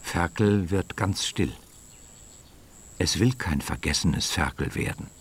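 A man's voice: deep voice, expressive, slow pace, slight background noise. A man narrating a scripted children's radio story as its storyteller. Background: music.

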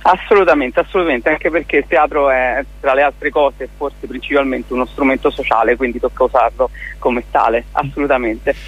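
Male interview guest, mid-pitched, informal and halting, feeling his way through phrases. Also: steady tone, husky, lo-fi sound. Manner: informal, halting, searching for words. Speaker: festival organiser, radio guest. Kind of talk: casual spoken interview.

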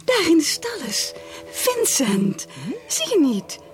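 A woman's voice: very high voice, huge pitch swings, takes it slowly, heavily acted. An actress playing a dreamy, elegant young society woman, genteel and slightly affected, in a Dutch period radio drama. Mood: enchanted. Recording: music and crowd of opera house.

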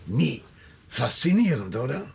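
An actor playing a menacing, pedantic and self-pitying debt collector in a radio crime drama, lecturing in a deliberate, pompous way. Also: male voice, mid-range voice, very animated, vocal fry, darkish tone, slight background noise. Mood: coldly menacing. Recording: music behind the voice.

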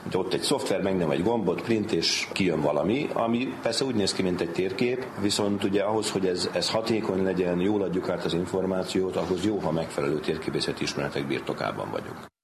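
A man speaking as an academic interviewee, explaining his field in conversational terms. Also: low-pitched. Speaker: university department head being interviewed. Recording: noisy ambient background.

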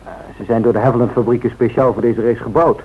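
An actor playing a modest, unruffled airline pilot in a radio drama, deferential and understated. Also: male voice, medium pitch, steady tone, fast, breathy edge, lightly acted. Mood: modest, matter-of-fact. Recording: thin, phone-like old radio recording.

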